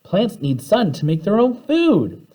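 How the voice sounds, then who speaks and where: A man reading a children's storybook aloud in an animated way, acting out the dialogue as he goes. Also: high voice, a little slow. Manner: animated, acts out the characters' dialogue.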